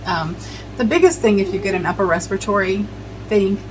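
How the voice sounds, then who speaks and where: A woman's voice: mid-range voice, a little fast, noisy background. A middle-aged woman chatting casually at home while working, rambling with many ums and restarts. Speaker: vlogger. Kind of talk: casual chat while preserving herbs.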